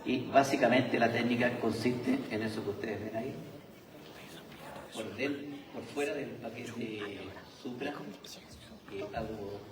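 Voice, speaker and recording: Chilean man lecturing at a conference, halting, recorded from far off in a reverberant hall. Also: mid-range voice, crowd noise. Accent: Chilean Spanish. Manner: hesitant, many filler sounds and restarts. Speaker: surgeon presenting at a conference. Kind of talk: conference talk.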